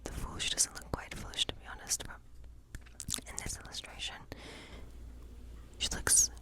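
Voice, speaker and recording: A woman playing a gentle witch in an ASMR tarot role play, speaking in a soft, breathy, unhurried whisper. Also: natural voice. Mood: gentle, playful. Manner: gentle, unhurried, softly musing. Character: friendly cottage witch woman reading tarot by night.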